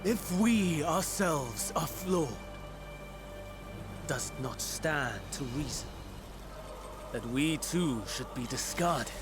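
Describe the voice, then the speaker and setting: A fairly high male voice for a grave, troubled overseer in a fantasy game. He speaks slowly and formally, with deliberate pauses and cold resolve, as music plays behind him. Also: animated. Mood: grave, doubting. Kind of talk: dramatic video game acting.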